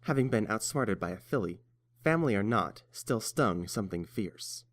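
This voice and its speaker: A man's voice: lowish voice, animated, moderate pace. A man reading scripted story narration as the narrator.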